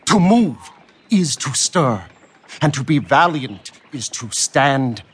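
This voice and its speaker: An actor in a Shakespearean audio drama playing a wry, needling servant with a husky voice and a dry, deliberate manner. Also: male voice, medium pitch, sing-song, full character voice. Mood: teasing.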